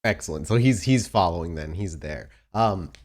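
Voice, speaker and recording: A man's voice: deep voice, animated, moderate pace. A man running a tabletop roleplaying game, narrating scenes descriptively and often rephrasing as he goes.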